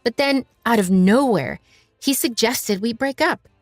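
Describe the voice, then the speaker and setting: A woman's voice: on the high side, a little slow, no echo, faint music behind. A woman reading a scripted first-person story in a dramatic narrating style. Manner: voices dialogue of several characters.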